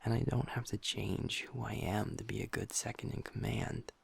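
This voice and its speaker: A man performs a young werewolf who has become his pack's second in command, low-pitched and heavily gravelly. His voice stays breathy and husky, close to a whisper. He swears freely and keeps blaming himself, in the style of an intimate audio roleplay. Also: steady tone, normal speed, heavily acted. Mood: frustrated. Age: young adult. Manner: soft, hushed, swearing, self-critical.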